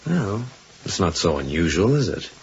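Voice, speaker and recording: An actor playing a skeptical, unimpressed psychiatrist with a dismissive, matter-of-fact manner in a radio drama. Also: male voice, sits low, very animated, moderate pace. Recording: dull, noisy 1980s radio broadcast.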